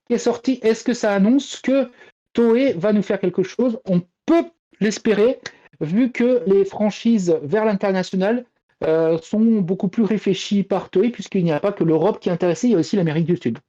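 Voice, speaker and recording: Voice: medium pitch, moderate pace, dark tone. A panellist of unclear sex giving casual news commentary on a pop-culture show, in slightly lo-fi sound.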